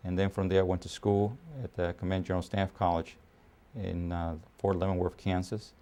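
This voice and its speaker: A man in his fifties from Puerto Rico, raised in New York, speaking as an army officer in a documentary interview, measured with frequent pauses and fillers. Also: sits low, level tone, mellow. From Puerto Rico, raised in New York City. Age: 50s.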